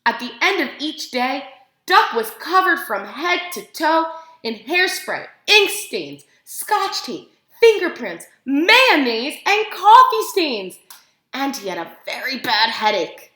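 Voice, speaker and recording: Woman, high-pitched, expressive preschool teacher, picture-book read-aloud, child-directed questions, echoey room. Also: slow pace. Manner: animated, expressive, simple child-directed phrasing with gasps for effect.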